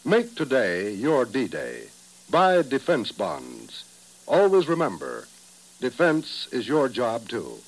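An American man works as a vintage radio announcer. His medium-pitched voice moves widely up and down as he delivers scripted lines with earnest, declamatory emphasis. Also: wildly swooping pitch, takes it slowly, a little hiss or hum, poor audio. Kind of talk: 1950s radio announcing, scripted patriotic appeal.

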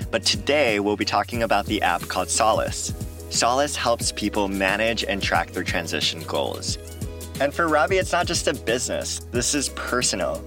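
A man hosting a podcast, reading scripted narration in a clear, measured way. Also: fairly low pitch. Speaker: podcast host.

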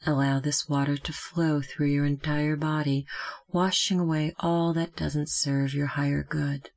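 A meditation guide speaking gently and calmly, giving slow, measured instructions with long pauses. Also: medium pitch, vocal fry.

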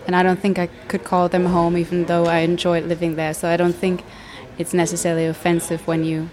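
A woman interviewing a guest, asking questions and reflecting on his answers in a thoughtful, measured way. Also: on the low side, completely flat delivery, a little mellow.

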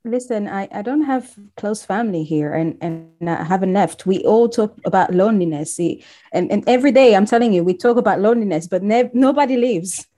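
A woman in her thirties who grew up in Congo speaks as an interview guest. She tells her story warmly in a mid-range voice and often restarts her sentences. She is heard over a video call. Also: mid-range voice, expressive, brisk. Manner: warm storytelling with frequent restarts. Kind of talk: casual interview chat.

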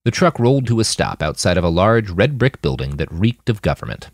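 A man's voice: low-pitched, big pitch swings, brisk, dry recording, studio quality. A man reading scripted fiction as an audiobook narrator. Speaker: author narrating his novel.

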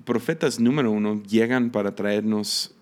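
A man in his thirties with a Mexican accent, a missionary giving a casual teaching talk, often pausing on fillers and restarting sentences. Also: lowish voice, darkish tone. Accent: Mexican Spanish. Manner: hesitant, many fillers and restarts. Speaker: missionary podcast host.

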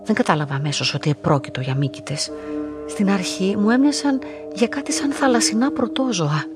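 A woman's voice: mid-range voice, animated, music in the background, lightly acted. An actress playing a lonely, observant young woman who narrates her own story reflectively in a radio play. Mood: observing. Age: young adult. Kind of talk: first-person literary radio drama narration.

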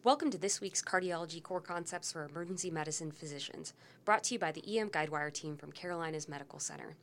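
A woman's voice: low voice, fast talker, background noise. Upbeat woman hosting a medical podcast. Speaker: host, physician.

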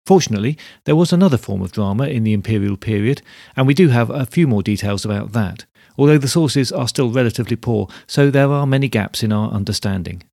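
A man's voice: mid-range voice, animated, fast, vocal fry, a little mellow, dry recording, studio quality. A man reading scripted narration as the host of a podcast.

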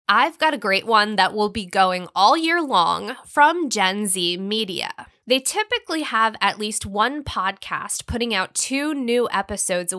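A woman's voice: medium pitch, bright, forceful tone, no echo, clean studio sound. An American woman hosting a podcast, reading upbeat scripted recommendations in a friendly, polished presenter manner. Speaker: podcast host.

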